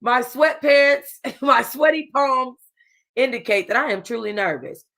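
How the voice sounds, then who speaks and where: A woman's voice: sits high, expressive, a little slow, vocal fry, fairly bright tone. A woman reading a novel aloud for a book club, stumbling over words, rereading lines and breaking off to chat casually with her listeners. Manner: casual, chatty asides to listeners.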